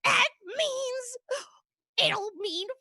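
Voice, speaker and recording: A woman's voice: extremely slow, gravelly, bright, forceful tone, no echo, clean studio sound, full character voice. A woman voicing a melodramatic, frantic puppet with a piercing voice in a puppet play. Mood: panicked. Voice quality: shrill, piercing. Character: panicky, dramatic puppet Pika, fearing the garbage truck. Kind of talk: puppet play performed as audio-visual reading.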